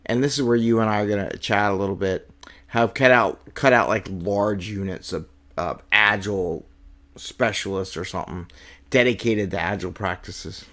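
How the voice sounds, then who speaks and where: Male host, lowish voice, friendly and prompting, loosely phrased questions. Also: normal speed, a bit bright. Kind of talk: casual interview chat.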